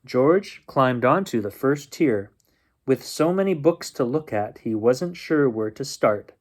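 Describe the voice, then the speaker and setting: A man reading a children's picture book aloud as a bedtime storyteller, expressively and with drawn-out suspense. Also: mid-range voice, lively intonation.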